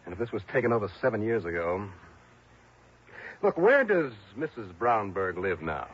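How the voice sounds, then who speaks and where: Dogged male investigator, lowish voice, wry, clipped, old radio drama, muffled broadcast sound. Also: sing-song, moderate pace, slightly rough. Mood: brisk, insistent. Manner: wry, clipped, world-weary. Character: dogged, wry male insurance investigator narrating his case. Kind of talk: 1950s-60s radio detective drama acting.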